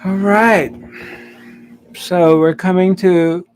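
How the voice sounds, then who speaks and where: A man's voice: sits high, steady tone, very slow, clean tone. A man hosting a casual online group chat, often repeating and stammering over his words. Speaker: host, spiritual teacher. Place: online video call.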